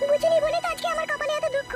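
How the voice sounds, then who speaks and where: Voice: very high-pitched, level tone, very fast. A performer voicing an aggrieved, defiant wife in an adult comedy cartoon, answering back with whining indignation. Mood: hurt, indignant. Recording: very loud music under the voice.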